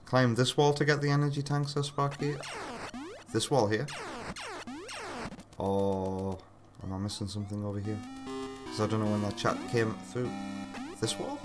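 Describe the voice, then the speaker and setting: A man's voice: on the low side, fairly even intonation, moderate pace, long pauses. A British man from the UK, chatting casually on a live game stream, reading out chat messages and muttering at the game. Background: game music.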